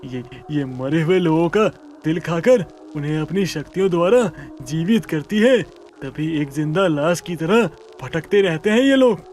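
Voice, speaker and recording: A man plays a worried but resolute village headman in a cartoon moral tale. His voice sits a little high and he speaks earnestly, with emphasis. Music plays behind him. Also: expressive, unhurried, darkish tone, slight background noise. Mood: alarmed, resolute. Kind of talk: animated Hindi story dialogue.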